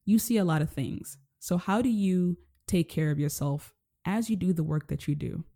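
A woman from Kenya hosting a casual interview, gentle and rambling, full of "like" and "you know". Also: fairly low pitch, moderate pace. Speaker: podcast host.

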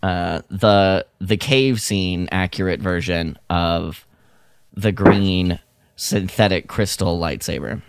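A man on a podcast panel chatting casually in a halting, wordy way. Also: deep voice, creaky, clear, resonant tone. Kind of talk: casual chat.

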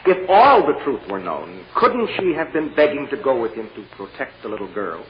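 A man acting a shrewd, assured defense lawyer in an old radio drama, deliberate and coaxing with a wry edge. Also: medium pitch, sing-song, close to an everyday voice. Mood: pressing, assured. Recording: muffled, noisy old radio recording.

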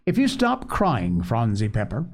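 A man voicing a bright, chatty, caring young girl with eager, folksy, old-fashioned speech in a storybook reading. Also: mid-range voice, big pitch swings, unhurried. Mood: eager, animated.

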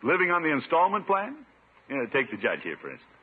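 Blustery pompous middle-aged uncle, swoopy husky voice, old radio comedy. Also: male voice, fairly high pitch, huge pitch swings, fast, full character voice. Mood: preachy. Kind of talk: 1950s radio sitcom acting. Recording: muffled 1950s radio broadcast.

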